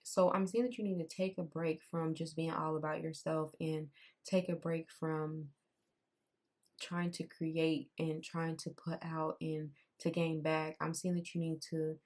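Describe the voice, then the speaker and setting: A young woman giving a casual tarot reading. She talks in a loose, repetitive way, and her voice has gone raspy. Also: low voice, little pitch movement, a little slow, darkish tone. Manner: conversational, repeats herself, says "I'm seeing" often. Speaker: tarot reader.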